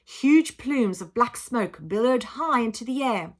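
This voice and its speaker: A woman's voice: on the high side, lively intonation, measured pace. Woman reading a children's story with drama. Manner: dramatic, emphatic, acts out sound effects. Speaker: teacher reading a storybook aloud. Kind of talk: expressive children's audiobook narration.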